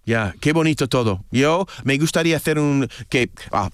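A man's voice: mid-range voice, lively intonation, moderate pace, dry recording, clean studio sound. Eager man with a native English background. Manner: hesitant, searching for words, enthusiastic. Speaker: radio guest. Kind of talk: casual radio conversation.

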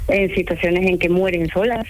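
A woman's voice: on the low side, fairly even intonation, normal speed. A woman from Ecuador with a mild Ecuadorian accent, chatting casually as a caller on a radio show. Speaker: radio caller, home care worker. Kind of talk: casual chat. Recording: noisy telephone call to radio.

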